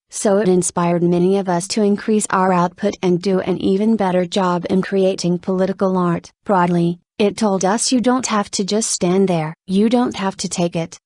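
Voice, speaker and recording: A woman's voice: mid-range voice, a little mellow, no echo. Woman reading an article, dry sound. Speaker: reader of an interview article. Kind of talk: reading an interview transcript aloud.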